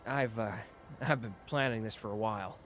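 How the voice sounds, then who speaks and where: A man's voice: fairly low pitch, moderate pace, fairly bright tone, a little hiss or hum, close to an everyday voice. A man voicing a short-tempered but protective young man who talks curtly, in an ASMR roleplay. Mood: shy. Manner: gruff and curt, brusque.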